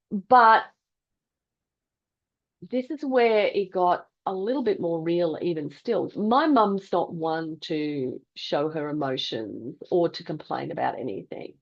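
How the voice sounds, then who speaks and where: A middle-aged woman tells a personal story in a reflective, deliberate way with dramatic pauses. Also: lowish voice, lo-fi sound. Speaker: health vlogger telling a personal story.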